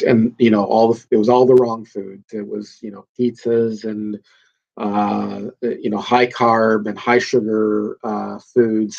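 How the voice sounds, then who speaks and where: A man's voice: on the low side, creaky, a little mellow, husky, highs cut off. A man hosting his own podcast, talking off the cuff in an emphatic, rambling way with plenty of fillers and restarts, a little nasal and congested from a cold. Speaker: podcast host. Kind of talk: casual solo podcast monologue.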